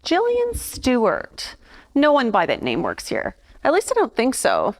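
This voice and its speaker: A woman's voice: fairly high pitch, wildly swooping pitch, measured pace, full character voice. A woman playing a cute, unsure young clerk in a 1940s radio play, hesitant but helpful.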